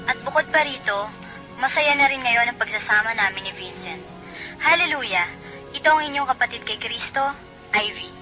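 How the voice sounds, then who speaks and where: A woman's voice: on the high side, lively intonation, normal speed, lightly acted, poor audio. A woman playing a joyful, devout Filipino wife giving a testimony in a radio drama, over music. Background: prominent music.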